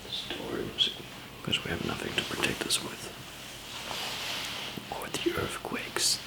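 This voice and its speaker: A man doing an improvised ASMR roleplay as a weary, easygoing survivor, in a breathy, hesitant, rambling murmur. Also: slow pace, poor audio. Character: weary, easygoing male zombie apocalypse survivor.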